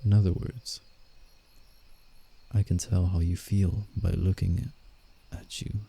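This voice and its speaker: Werewolf, male actor, roleplay ASMR. Low-pitched, slow-paced, guarded forest protector with a teasing streak and a soft heart. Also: level tone, vocal fry, husky, full character voice. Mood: coaxing, sly. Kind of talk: roleplay ASMR, scripted character acting with inner monologue.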